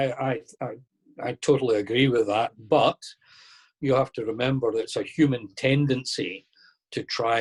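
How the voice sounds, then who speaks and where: A man answering questions in an online lecture, relaxed and conversational, thinking aloud as he explains. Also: medium pitch, breathy edge. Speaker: lecturer on masonic history. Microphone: video call.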